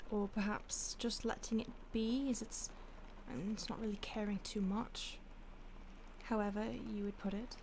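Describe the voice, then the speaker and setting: A woman playing an ancient, detached vampire stalker in an intimate audio roleplay, with a breathy voice that often drops to a whisper and a languid, teasing manner. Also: mid-range voice, level tone, unhurried, darkish tone, slight background noise, close to an everyday voice. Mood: musing, detached. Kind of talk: intimate audio roleplay, ASMR-like acting addressed to the listener.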